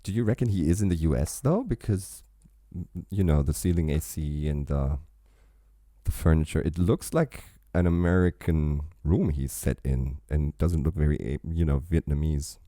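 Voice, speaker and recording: A man's voice: very deep voice, moderate pace, dark tone, no room sound, studio quality. A man hosting a reaction channel, rambling casually with chatty asides while sipping a drink.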